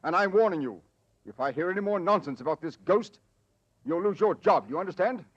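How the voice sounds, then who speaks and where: An actor playing an irascible, dismissive middle-aged master of a manor, gruff and impatient, in 1940s radio style. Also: male voice, high-pitched, animated, brisk. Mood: irritated. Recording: dull old radio recording.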